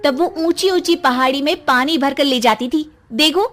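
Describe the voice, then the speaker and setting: A woman voicing a sharp-tongued young wife in a cartoon story, scolding and mocking. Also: high voice, moderate pace.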